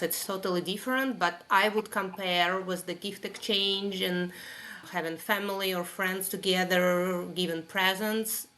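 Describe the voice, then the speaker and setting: A woman's voice: on the low side, bright, forceful tone. A woman from Russia with a Russian accent, chatting as a podcast guest in non-native English phrasing. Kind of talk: casual conversational interview.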